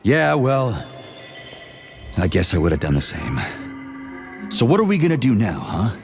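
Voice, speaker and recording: An actor playing a gruff, foul-mouthed male army major who speaks with sarcasm. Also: lowish voice, wildly swooping pitch, a little slow, faint music behind, heavily acted.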